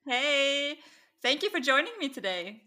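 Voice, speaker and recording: Woman podcast host, soft and a bit hesitant, lots of "like" and "you know". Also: sits high, sing-song, measured pace. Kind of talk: casual interview chat.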